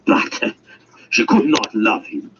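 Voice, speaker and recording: A man's voice: fairly low pitch, lively intonation, measured pace, fairly bright tone. A man voicing a grand, impatient, lovelorn king in a ponderous, dramatic storybook reading. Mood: imperious, wistful. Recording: dull audio with faint hiss.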